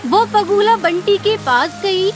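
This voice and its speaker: A woman's voice: extremely high pitch, animated, slow, soft background music. A woman narrator reading a scripted children's story.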